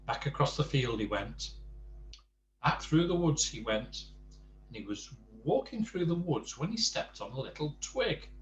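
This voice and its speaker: An older man reading a bedtime story to grandchildren, expressive, with chatty asides and questions to the young listener. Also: on the high side, a little slow, muffled recording, slight background noise.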